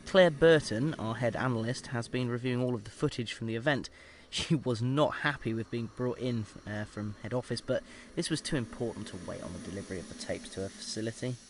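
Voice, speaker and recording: An actor playing a measured research scientist who dictates an experiment log in a formal, clinical manner, with hesitant pauses, in an audio drama. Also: male voice, medium pitch, a little hiss or hum, close to an everyday voice. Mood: formal, concerned.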